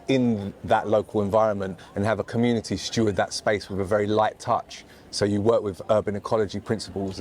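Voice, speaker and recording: A man's voice: lowish voice, a little mellow. An earnest man, a garden designer, informally explaining his work in an outdoor interview. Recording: outdoor location with background noise.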